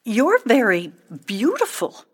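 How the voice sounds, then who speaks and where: Woman voicing a shy, quiet boxwood tree. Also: mid-range voice, slow, husky, pitched above the performer's own voice. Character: shy, gentle male boxwood tree, admiring. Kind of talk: storybook character voice.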